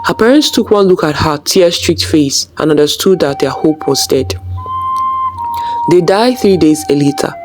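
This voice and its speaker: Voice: medium pitch, lively intonation, measured pace, music in the background. A storyteller reading a scripted folktale as its narrator.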